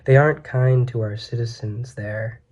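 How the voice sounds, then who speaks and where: A young man in his twenties solemnly reads his poetry in a measured way, in a small room on a webcam mic. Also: medium pitch, fairly even intonation, darkish tone, dull audio. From United States. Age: 20s. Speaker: poet. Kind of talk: poetry reading. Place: small plain room indoors.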